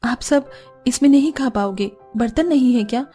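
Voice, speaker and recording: A woman's voice: on the high side, moderate pace, dark tone, breathy edge, soft background music. A woman playing a dutiful, exasperated young daughter-in-law in a cartoon story, weary and complaining yet compliant. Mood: flustered. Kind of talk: Hindi cartoon story dialogue.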